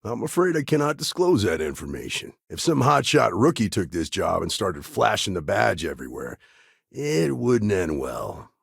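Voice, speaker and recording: A level-headed frontier ranger for a video game. His voice sits on the low side with plenty of gravel, recorded close and dry. He is firm and plain-spoken, a weary lawman who stands by his duty. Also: sing-song, normal speed, no echo, full character voice. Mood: matter-of-fact. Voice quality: gravelly, husky. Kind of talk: game character dialogue lines.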